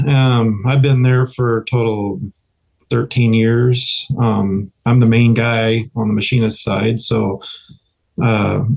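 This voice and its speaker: A man's voice: mid-range voice, creaky, darkish tone, poor audio. A fifty-year-old American man from Iowa introducing himself casually in a reserved, slightly shy, plain-spoken way. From Iowa, United States. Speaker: guest, artist and machinist.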